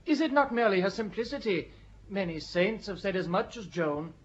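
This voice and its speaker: An actor playing a gentle young male monk who questions the court in a soft, pleading way. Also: sits high, a little fast, lo-fi sound, natural voice. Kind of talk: audio drama acting.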